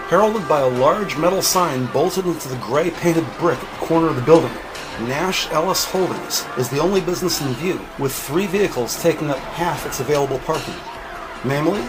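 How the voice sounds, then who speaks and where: A man's voice: a little high, poor audio. A man reading scripted narration for a crime audio drama. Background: music, vehicle sounds.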